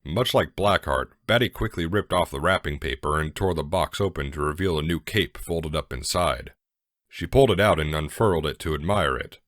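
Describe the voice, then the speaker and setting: A man's voice: extremely low pitch, normal speed, fairly bright tone, no room sound, studio quality. A man narrating a scripted fan fiction story as a dramatic reading.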